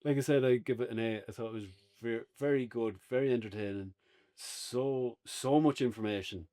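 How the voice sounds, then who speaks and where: A man with an Irish accent co-hosting a relaxed, unscripted review conversation. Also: medium pitch, normal speed. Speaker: podcast co-host and reviewer. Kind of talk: casual unscripted review chat.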